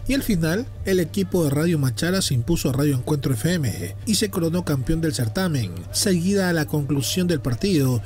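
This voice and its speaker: A man narrates a scripted sports report. His voice sits a little high, with soft music playing behind it. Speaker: sports news presenter.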